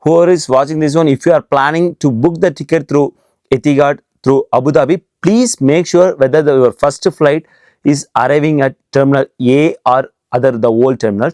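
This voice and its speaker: Fast-talking man, Tamil native speaker. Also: on the high side, darkish tone, dry recording. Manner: fast, eager talk with frequent fillers. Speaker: host. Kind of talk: casual interview.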